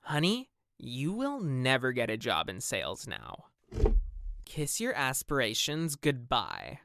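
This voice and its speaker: A condescending, haughty interviewer from a supernatural audio drama, as an actor voices it. The voice sits at a middling pitch and swings up and down a great deal, dripping with dismissive superiority. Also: wildly swooping pitch, slow pace, creaky, fairly bright tone, no echo, full character voice. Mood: offended, scornful. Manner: condescending, dismissive, swooping intonation.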